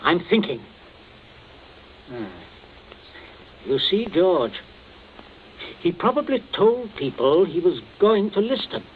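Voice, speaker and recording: A man's voice: sits high, very animated, takes it slowly, pauses often, full character voice. An actor playing a cold, scheming middle-aged father in a radio suspense drama, clipped when commanding and jovial on the surface. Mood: cold, impatient. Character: cold, domineering, scheming father of a genteel household. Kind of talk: 1940s radio suspense acting. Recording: muffled, hissy old radio broadcast.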